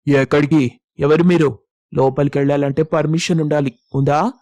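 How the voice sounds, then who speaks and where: A man's voice: a little high, moderate pace, no echo, natural voice. A man playing a stern, dismissive watchman in an audio story, curt in his delivery. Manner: curt, flat. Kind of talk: acted dialogue in an audio story.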